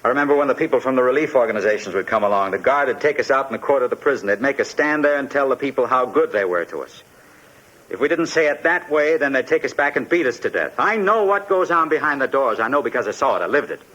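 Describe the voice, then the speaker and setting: An actor playing a haunted, distrustful immigrant who escaped a prison camp, desperate and anguished, in a 1950s radio crime drama. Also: male voice, medium pitch, animated, fairly bright tone. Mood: bitter, agitated. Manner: distrustful, anguished, halting repetition under strain. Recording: muffled, noisy 1950s radio recording.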